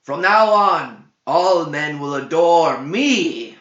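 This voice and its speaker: A man performs a power-hungry conquering king from a storybook epic. He proclaims grandly in a raised, drawn-out voice. The recording echoes and sounds dull. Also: a little high, expressive, a bit bright, pitched above the performer's own voice. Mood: exultant crying. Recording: dull roomy echoing home recording.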